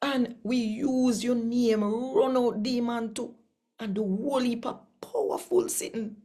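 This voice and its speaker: A woman's voice: a little high, unhurried, mellow, voice pushed up. A Jamaican woman voicing a crowd of desperate people pleading insistently.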